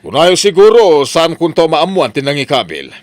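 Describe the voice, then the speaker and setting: A man's voice: a little high, big pitch swings, moderate pace. A man playing an inquisitive, exclamatory grown-up who questions schoolchildren, with an Ilocano accent, in a comedy radio drama. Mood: stern.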